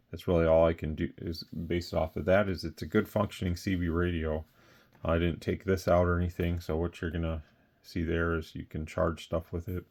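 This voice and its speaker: A man's voice: low voice, a little mellow. A man giving a casual, unscripted product rundown as a seller, plainspoken and rambling. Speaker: radio seller presenting a product. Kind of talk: casual off-the-cuff product talk.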